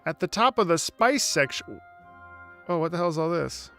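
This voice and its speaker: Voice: medium pitch, expressive, normal speed, a bit bright. A game streamer chatting casually on a livestream, blunt and full of swearing. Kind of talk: casual livestream gameplay chat. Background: video game music.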